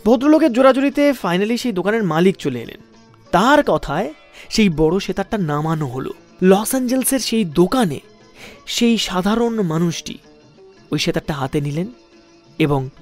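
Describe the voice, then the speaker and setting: A man with an Indian Bengali accent and a fairly high voice tells stories as a radio host. His delivery is theatrical, stretching pauses for suspense and leaning on key words. Music plays under his voice. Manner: theatrical, with drawn-out suspenseful pauses and emphatic phrasing. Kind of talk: dramatic anecdotal storytelling for a music radio show.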